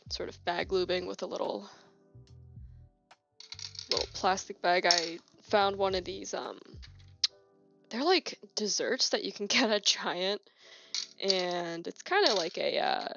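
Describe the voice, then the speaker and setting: Young woman rambling on a home stream, bit sniffly, gravelly fry, dull phone mic w faint music behind. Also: medium pitch, measured pace, long pauses. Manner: rambling, relaxed, many fillers and restarts. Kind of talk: casual live-stream chat while working on keyboard switches. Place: home desk. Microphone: phone on a holder. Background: faint music and keyboard clicking.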